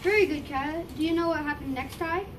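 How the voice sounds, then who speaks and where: A woman playing a patient Egyptian father and priest teaching his children, reading a little haltingly in amateur readers theater. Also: high voice, close to an everyday voice. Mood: patient, instructive. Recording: distant mic, noisy room ambience.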